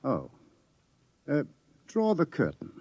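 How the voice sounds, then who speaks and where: A grave, animated radio professor. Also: male voice, a little high, slightly rough, dry recording, lo-fi sound, exaggerated voice. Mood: testing, commanding. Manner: lecturing, deliberate, dramatic pauses. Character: learned older male professor, grim prophet of doom. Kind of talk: 1950s radio drama acting.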